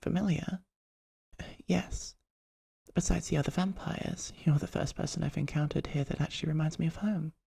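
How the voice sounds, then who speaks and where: A performer acting as a polite, poetic vampire artist in an ASMR roleplay. The voice is very breathy and husky, crackling with heavy fry. It stays soft, often near a whisper, with a shy, gently formal manner. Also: medium pitch, fairly even intonation, normal speed. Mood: curious, courteous.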